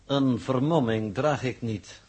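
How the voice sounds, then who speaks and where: Slow, cold, superior male impostor. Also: mid-range voice, darkish tone, slightly rough. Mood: smugly composed. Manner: formal, deliberate, smugly superior. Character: cold, unflappable impostor scientist, taunting his captors with knowledge. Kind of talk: 1960s Dutch radio drama acting. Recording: muffled early 1960s radio recording.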